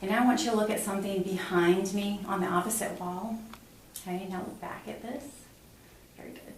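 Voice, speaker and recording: Middle-aged female clinician, instructional and halting, talking a patient through an exam. Very reverberant small room, noisy. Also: medium pitch, steady tone. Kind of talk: explaining a physical exam step by step. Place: small hard-walled exam room indoors. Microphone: camera mic a few feet away. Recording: echoey room, distant mic, clear background noise.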